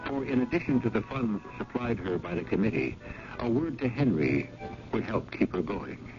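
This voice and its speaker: A man hosting and narrating a 1970s radio mystery series, speaking in a measured, theatrical way with dramatic pauses. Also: fairly low pitch, lively intonation. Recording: muffled old radio broadcast. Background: prominent music.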